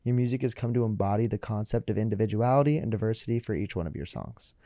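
Upbeat young male host, mellow tone. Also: fairly low pitch, fast, no room sound, studio quality. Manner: upbeat, chatty, many likes. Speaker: podcast host. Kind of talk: casual interview chat.

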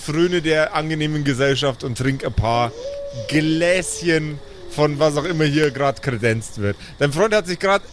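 A man's voice: a little high, lively intonation, unhurried, noisy background. A man improvising casual in-character dialogue in a role-play podcast, slangy and somewhat exasperated.

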